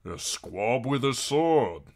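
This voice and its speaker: A man voicing a big, sour soldier in an audiobook, deep-voiced, gruff and sardonic. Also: very animated, takes it slowly, darkish tone. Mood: gruff, mocking.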